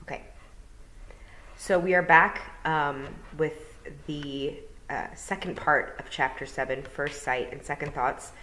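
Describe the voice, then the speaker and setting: A woman's voice: sits low, steady tone, takes it slowly, bright, forceful tone. A woman, a teacher, reading a story aloud and narrating for storytime. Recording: roomy, reverberant indoor sound.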